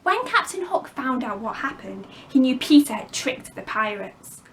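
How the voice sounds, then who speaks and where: A woman storyteller reading a children's story expressively, with clear phrasing for young listeners. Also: high voice, big pitch swings, moderate pace, fairly bright tone, a little hiss or hum. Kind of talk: expressive scripted storybook narration.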